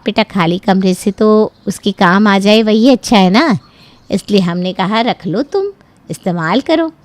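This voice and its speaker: A woman's voice: mid-range voice, a little slow, no echo, natural voice. A woman voicing a practical middle-aged mother in a cartoon story, coaxing and explaining as she talks. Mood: justifying.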